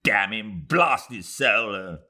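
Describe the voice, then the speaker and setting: A man's voice: lowish voice, expressive, takes it slowly, vocal fry, voice pushed up, exaggerated voice. A man playing a blustering, brutal army major. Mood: brutal, gloating. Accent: British. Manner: loud, blustering, crude.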